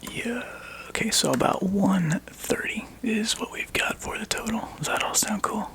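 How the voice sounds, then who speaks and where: A man speaking softly and breathily close to the microphone in an ASMR roleplay, playing a laid-back, wry video game store clerk who chats casually with a customer. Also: normal speed. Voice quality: breathy whisper and soft low murmur. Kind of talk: ASMR roleplay, one-sided customer chat.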